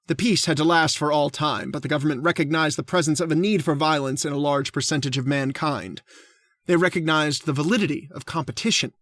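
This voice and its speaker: An actor playing a mild, hesitant gentleman who hunts people for sport, in a radio drama. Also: fairly high pitch, no echo, clean studio sound, natural voice. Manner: polite, hesitant.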